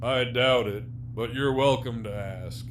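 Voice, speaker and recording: A man's voice: medium pitch, measured pace, close to an everyday voice. A man acting in an audio drama as a jaded, sardonic, despairing prisoner villain with a profane, wry, teasing drawl. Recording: faint background hum and noise.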